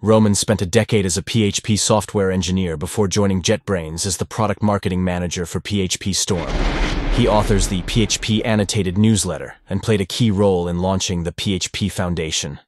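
A man's voice: deep voice, steady tone, fairly quick, no room sound, studio quality. A man introducing the next speaker at a conference, reading a formal introduction. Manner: formal, announcing.

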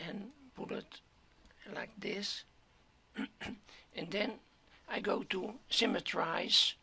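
A hoarse man talking through a 3D modeling tutorial step by step, halting, often clearing his throat and dropping to a whisper. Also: high voice, sharp tone, a little hiss or hum. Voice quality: gravelly, keeps clearing throat. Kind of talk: screen-recorded software tutorial.

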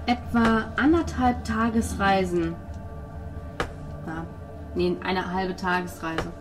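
Woman running a pen and paper game, mid-pitched voice, music playing behind. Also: steady tone, takes it slowly, low-quality recording. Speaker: game master. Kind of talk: narrating a tabletop role-playing game.

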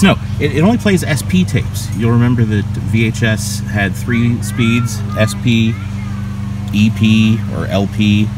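A man giving a casual, wry gear tour, thinking aloud with self-questioning asides as a hobbyist vlogger. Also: fairly low pitch. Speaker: vlogger and video transfer hobbyist. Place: outdoors in a backyard, at a patio table. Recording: clear outdoor ambient noise.

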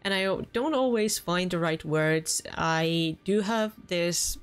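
Finnish woman in her twenties with a low voice, earnest let's play host talking over soft background music. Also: slow, clean tone. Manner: chatty and earnest, with small restarts. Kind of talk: casual gameplay commentary. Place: home room.

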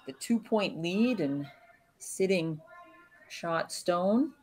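Low-pitched lady doing curling play-by-play, calm and analytical, lots of pauses. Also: slightly rough. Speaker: curling commentator. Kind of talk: live sports commentary.

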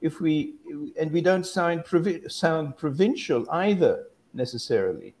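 A man's voice: fairly high pitch, animated, measured pace, darkish tone. An older man talks in a loose, wandering way, restarting his sentences as he thinks aloud. He sounds like he is on a video call from a room at home. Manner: rambling, self-correcting, musing. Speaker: guest academic. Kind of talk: casual discussion on a video call.

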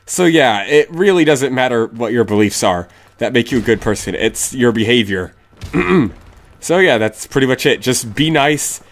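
A young man with a stuffy, sick voice hosting a casual Q&A, rambling and correcting himself as he answers viewer questions. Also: medium pitch. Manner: rambling, self-correcting, blunt opinions. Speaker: YouTube channel host.

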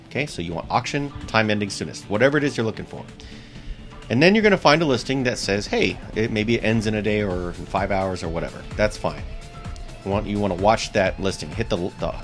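A man in his thirties with a US East Coast accent, hosting a bowling tips vlog straight to camera and talking upbeat and chatty, repeating his key points. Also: on the low side. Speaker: bowling channel host. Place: small indoor room with shelves. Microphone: seated close to camera.

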